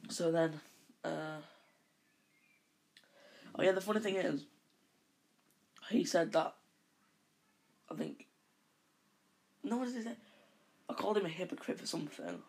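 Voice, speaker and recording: This is a man with a croaky voice, gravelly and a little husky, talking on his own podcast. He drifts from point to point in a long casual monologue, backtracking and fixing what he just said. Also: sits high, big pitch swings, a little slow, long pauses, a little mellow. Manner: rambling, with frequent self-corrections. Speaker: podcast host.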